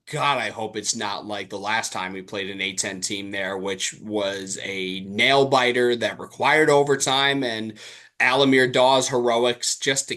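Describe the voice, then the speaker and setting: Male basketball podcast co-host, medium pitch, sharp bright tone, casual, hesitant, thinking out loud. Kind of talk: casual chat about basketball.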